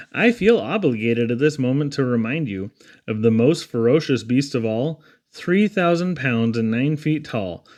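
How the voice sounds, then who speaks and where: A man reading humorous children's poetry aloud in a theatrical, rhythmic, expressive way. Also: medium pitch. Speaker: poetry reader.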